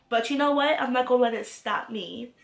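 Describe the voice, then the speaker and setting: A woman's voice: fairly high pitch, gravelly, reverberant, a little hiss or hum. Chatty young woman with a cold. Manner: chatty, gushing, lots of "like". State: congested from a cold. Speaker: fashion haul vlogger. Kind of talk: casual haul chat to camera. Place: small room, glittery backdrop.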